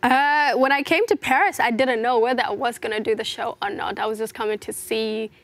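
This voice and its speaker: A young woman from South Sudan talks through her story in a relaxed interview, earnest and reflective. Her voice sits a little high and carries a lot of gravelly fry, and she fills her thoughts with "you know" as she goes. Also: a little fast, no echo. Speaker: interview guest, fashion model. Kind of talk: casual personal interview.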